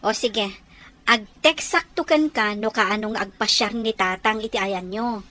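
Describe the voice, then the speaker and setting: An actress with an Ilocano Filipino accent playing a friendly, helpful older sister-in-law in a radio drama. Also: female voice, mid-range voice, unhurried, fairly bright tone, natural voice.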